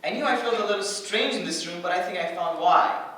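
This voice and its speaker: A man's voice: sits high, brisk, fairly bright tone, slight background noise. A man playing an anxious, self-doubting young hero with air powers, fretful and hesitant in a staged reading. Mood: panicked. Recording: echoey room, distant mic.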